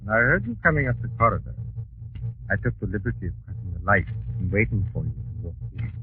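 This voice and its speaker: Radio villain: American mad scientist, lowish voice, measured mock courtesy, cold authority, faint music, thin 1940s sound. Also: male voice, very animated, phone-quality sound. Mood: smooth menace. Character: suave, menacing mad scientist, cold and commanding.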